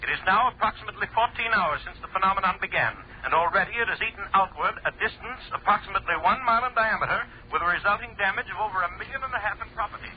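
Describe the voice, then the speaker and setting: A man's voice: sits high, very fast, few pauses, natural voice. An actor playing an urgent male bulletin announcer crying doom in clipped, dramatic phrases, old-time radio style. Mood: urgent reporting. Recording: noisy, dull vintage radio recording.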